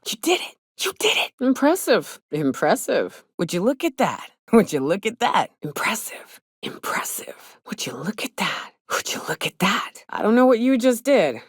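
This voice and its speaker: An actress playing a tough, wry mercenary hunter in a video game, with a husky, raspy voice and a casual, dry manner. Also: female voice, mid-range voice, big pitch swings, moderate pace, hardly any pauses, dry recording. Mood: eager, upbeat, then hushed. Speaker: companion character in a video game. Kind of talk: video game voice acting, short barks and banter.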